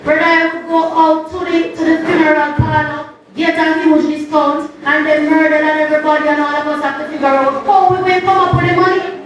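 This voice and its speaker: A woman's voice: sits high, steady tone, a little fast, over music. A Jamaican woman giving a funeral tribute, telling stories with sing-song emphasis. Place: church service. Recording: echoey church from far off, noisy.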